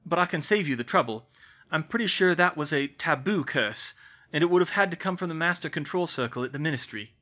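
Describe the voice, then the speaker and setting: A calm, knowledgeable young wizard voiced by a man who explains things in a steady, bright, lively voice. He sounds patient and matter-of-fact. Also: fairly high pitch, brisk, highs cut off, pitched above the performer's own voice. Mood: explaining.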